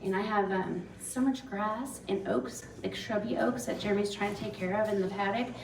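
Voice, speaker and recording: A woman's voice: medium pitch, fairly even intonation, moderate pace, gravelly. A woman ranch owner speaking casually to an audience as guest speaker, chatty and rambling. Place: expo hall. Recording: roomy hall from far off with crowd.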